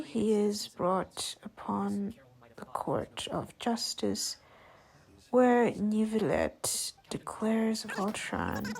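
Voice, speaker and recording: Female recap narrator for gaming videos, casual delivery, slow and fry-laden. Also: medium pitch, a little mellow, low-quality recording. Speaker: video creator recapping a game story.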